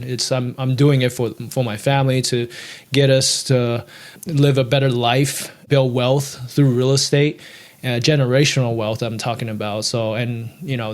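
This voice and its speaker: A man in his thirties from Taiwan, a podcast guest telling his story in an earnest, steady way. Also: medium pitch. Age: 30s. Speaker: guest, real estate investor. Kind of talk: podcast interview.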